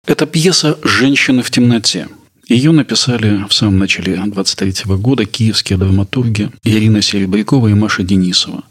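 A man presenting a culture podcast in a narrating style, with a gravelly, husky voice. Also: lowish voice, a little fast. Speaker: podcast host.

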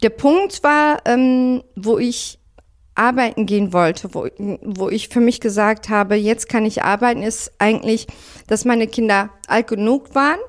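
A woman's voice: fairly high pitch, unhurried. A woman in her late thirties, a mother and factory worker, talking earnestly in an interview. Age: 30s. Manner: earnest, thoughtful. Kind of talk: interview answers.

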